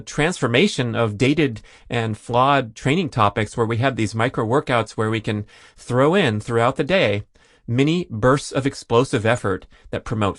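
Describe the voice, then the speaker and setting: A man's voice: mid-range voice, dry recording, clean studio sound. A man hosting a solo listener Q&A podcast, rambling and jokey with self-deprecating asides. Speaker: podcast host.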